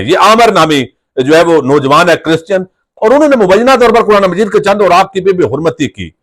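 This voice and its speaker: A man with a Pakistani accent, reading out news reports and adding his own commentary. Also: high-pitched.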